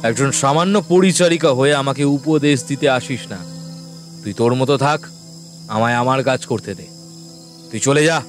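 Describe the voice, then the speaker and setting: Haughty, dismissive landlord played by an actor. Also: male voice, mid-range voice, normal speed, pauses often, soft background music, natural voice. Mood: haughty rebuke. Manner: imperious, dismissive. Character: haughty, domineering male landlord scolding his servant. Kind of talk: Bengali horror audio drama acting.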